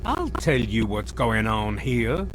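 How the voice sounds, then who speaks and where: A man's voice: lowish voice, big pitch swings, slow, a little hiss or hum. A man playing a stern, impatient military colonel in a video game, giving commanding, threatening orders. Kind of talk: video game cutscene acting. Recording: loud music behind the voice.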